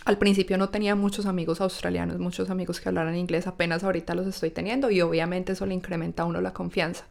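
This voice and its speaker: A woman's voice: lowish voice, level tone, very fast, gravelly, darkish tone. A young adult woman, a podcast guest in casual conversation, earnestly explaining things step by step, recorded in an apartment. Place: apartment living room.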